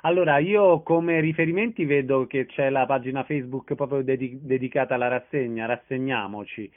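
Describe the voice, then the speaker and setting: A man's voice: medium pitch, a little fast. A man hosting a casual radio interview, asking questions in a conversational way. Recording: dull band-limited radio phone-in audio.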